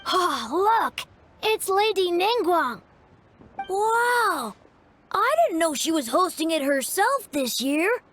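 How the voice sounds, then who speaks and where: An actress playing an eager young woman in a festival crowd, gushing with excitement. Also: very high voice, takes it slowly, slight background noise, full character voice. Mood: excited.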